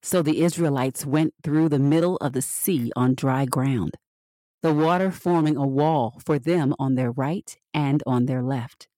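A woman reading scripture as scripted narration for a Bible podcast, measured and expressive with the dialogue. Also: rumbling low, no room sound.

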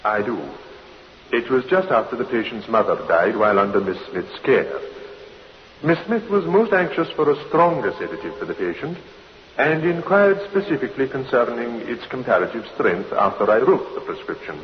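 An actor playing a formal, defensive doctor giving testimony in a radio courtroom drama, careful and precise. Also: male voice, medium pitch, sing-song, moderate pace, lightly acted. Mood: carefully defensive. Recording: reverberant, muffled, hissy old radio recording.

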